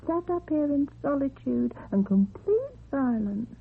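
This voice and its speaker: A woman's voice: sits high, unhurried, exaggerated voice. An actress playing a fussing, talkative, matter-of-fact landlady in a radio drama. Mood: flustered. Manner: talkative, animated. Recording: tinny narrow-band old radio recording.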